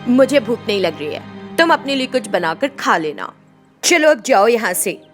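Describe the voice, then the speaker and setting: A woman's voice: on the high side, sing-song, moderate pace, faint music behind. A woman voicing a haughty, aloof film actress and mistress of the house, curt and imperious in a story. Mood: irritated, curt.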